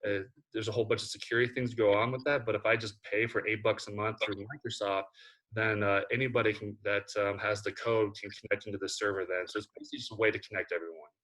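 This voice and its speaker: A man explains a technical setup on a video call, stopping and restarting often. His voice sits low. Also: fairly even intonation, fairly bright tone, low-quality recording. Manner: halting, many fillers and restarts. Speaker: parent running a game server.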